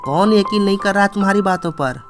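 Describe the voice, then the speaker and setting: A man's voice: high voice, brisk. A man voicing a giant scheming monster crow in a children's cartoon, with a menacing, gloating delivery and music behind him. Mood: taunting, nosy. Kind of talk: Hindi children's cartoon voice acting.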